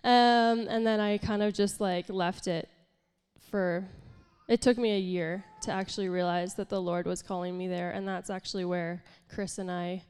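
A young woman preacher with a congested, nasal tone speaks candidly and self-deprecatingly, full of casual fillers. Her voice is smooth and clear despite the stuffiness. It carries a long reverb from a church hall. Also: medium pitch, fairly even intonation, moderate pace, a little mellow. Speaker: pastor and worship leader. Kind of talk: informal sermon.

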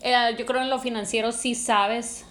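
A woman's voice: a little high, fairly even intonation, normal speed, a bit bright. A woman, chatting casually with her husband, agreeable and teasing. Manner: agreeable, teasing, laughs easily. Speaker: podcast co-host.

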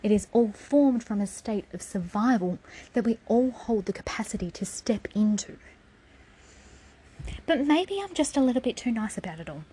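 A woman's voice: on the high side, expressive, normal speed, warm tone, slightly rough, low-quality recording. A woman giving a raw, defiant personal spoken essay, swearing freely and sometimes dropping to a whisper.

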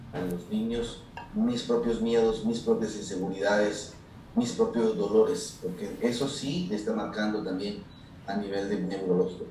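A man's voice: fairly low pitch, darkish tone. A man hosting a radio talk, explaining child development in a thoughtful way with many restarts. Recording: very echoey, distant, faint noise.

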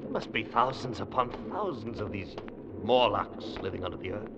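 An actor in an old radio drama playing a resolute, analytical explorer, deliberate and grimly composed under danger. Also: male voice, lowish voice, big pitch swings. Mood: tense, hushed. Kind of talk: 1940s-50s radio drama acting. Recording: dull, noisy old radio recording.

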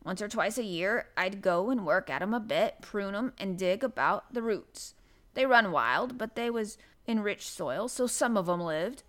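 A woman's voice: mid-range voice, lively intonation, a little slow, fairly bright tone. Woman acting grumbling old Yorkshire gardener man. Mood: reluctant, wistful. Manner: grumbling, grunting, dialect speech. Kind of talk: audiobook character voice.

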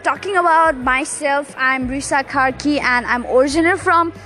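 A woman's voice: high voice, moderate pace, pushed and bright, soft background music. A young woman with a Nepali accent hosting a solo motivational podcast, upbeat, with many fillers and restarts. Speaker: podcast host. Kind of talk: casual solo podcast monologue.

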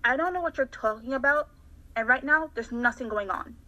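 A woman's voice: high-pitched, a little fast, sharp tone, muffled recording. A woman playing a seventeen-year-old girl who is suspicious and defiant toward a stranger, in an amateur radio drama.

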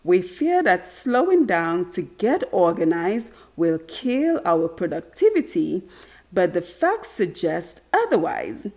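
Talk show host, woman, a bit hoarse and stuffy, animated pitch, talks directly to listeners. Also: takes it slowly. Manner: upbeat, earnest, speaks straight to listeners. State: congested, slightly raspy from allergies. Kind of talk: motivational talk show monologue.

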